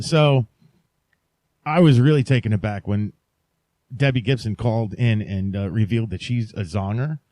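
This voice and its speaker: Halting male podcast host, very dry sound. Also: fairly low pitch, a little mellow, no room sound. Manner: halting, mock-sincere joking. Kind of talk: casual rambling podcast chat.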